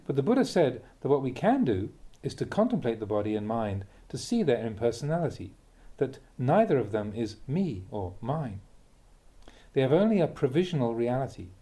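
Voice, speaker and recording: A man reading a Buddhist teaching aloud as a Dhamma talk, in measured, deliberate phrases with emphatic contrasts. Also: medium pitch, sing-song, mellow.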